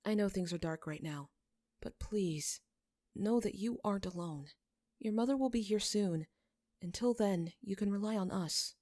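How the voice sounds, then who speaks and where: Woman as a kind young man, soft, earnest, consoling, bashful shepherd, fantasy storybook. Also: medium pitch, normal speed, no echo, clean studio sound, pitched above the performer's own voice, close to an everyday voice. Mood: tender, consoling. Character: gentle, bashful young male shepherd, compassionate healer.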